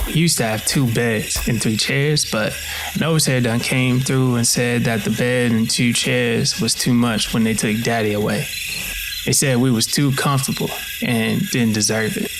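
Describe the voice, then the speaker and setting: A man's voice: medium pitch, vocal fry, noisy background, lightly acted. A man playing an enslaved young man with a Southern US accent, telling of plantation life in a plainspoken, weary, folksy dialect in a dramatic narration. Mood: somber, matter-of-fact. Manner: folksy, storytelling. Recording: music under the voice.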